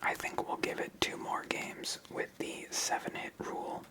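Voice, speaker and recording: Someone whispering asmr thru a card game, gentle, explaining each move step by step. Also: normal speed. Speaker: host playing a solitaire card game.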